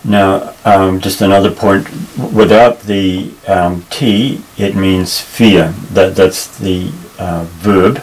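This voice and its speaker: A man teaching a Bible Hebrew lesson at a table, explaining patiently with many pauses and restarts. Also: low voice, fairly even intonation, low-quality recording. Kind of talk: unscripted tabletop lesson, explaining while pointing. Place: indoors at a table.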